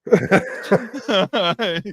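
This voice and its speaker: A man chatting casually as a podcast guest, telling anecdotes in a rambling, animated way and acting out bits of conversation. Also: high-pitched, drawn-out words, no room sound, clean studio sound. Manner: rambling, animated storytelling with acted-out quotes. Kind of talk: casual anecdotal interview chat.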